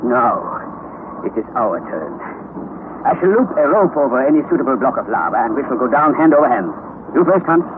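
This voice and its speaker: An actor playing a fierce, excitable older uncle and explorer in an old radio drama, declaiming theatrically and giving commands. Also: male voice, medium pitch, moderate pace, narrow, tinny audio, close to an everyday voice. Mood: commanding. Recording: thin, band-limited vintage radio recording, noisy.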